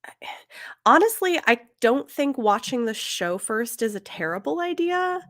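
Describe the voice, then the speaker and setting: A woman's voice: fairly high pitch, very animated, measured pace, a bit bright, no echo, clean studio sound. A woman co-hosting a podcast, chatting casually about books in a tentative, conversational way. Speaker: podcast co-host. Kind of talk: casual book discussion.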